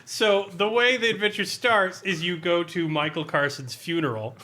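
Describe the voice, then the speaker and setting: Man, high bright voice, podcast co-host, animated, sweary, laughing, casual comedic reviewing. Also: moderate pace. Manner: animated, laughing often, sweary mock outrage. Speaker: podcast co-host reviewing a role-playing adventure.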